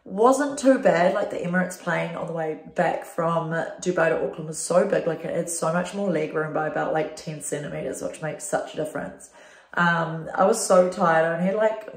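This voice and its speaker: A young New Zealand woman vlogging casually to her phone, her voice blocked up and nasal, her talk full of "like" and "um". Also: on the low side. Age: young adult. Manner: chatty, lots of "like" and "um". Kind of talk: casual vlog to camera. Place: small bathroom indoors. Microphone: phone camera at arm's length. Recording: echoey bathroom.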